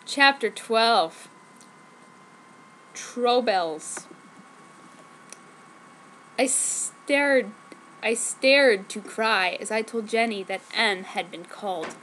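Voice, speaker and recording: High-voiced woman reading theatrically over noise. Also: very slow, pauses often, a bit bright, rough recording. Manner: theatrical, reads misspellings literally. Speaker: reader of fan fiction. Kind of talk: dramatic comic reading of fan fiction.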